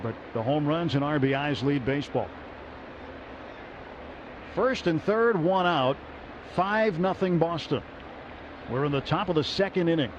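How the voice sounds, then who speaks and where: A man's voice: fairly high pitch, noisy background. Male radio baseball announcer, muffled broadcast. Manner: steady, rises sharply on big plays. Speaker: play-by-play announcer. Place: ballpark broadcast booth.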